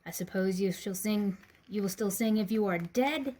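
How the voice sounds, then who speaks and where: A woman's voice: mid-range voice, moderate pace, mellow, natural voice. A woman voicing a blustering, bullying male mayor in a storybook, wailing and snarling in exasperation. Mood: triumphant bullying.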